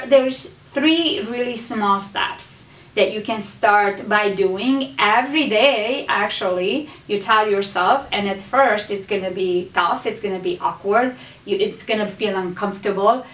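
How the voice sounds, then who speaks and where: A woman's voice: mid-range voice, measured pace, a bit bright, distant mic. A woman hosting a motivational talk, speaking earnestly to her viewers and repeating key phrases for emphasis as she coaches them. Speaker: host. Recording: echoey room, voice off-mic.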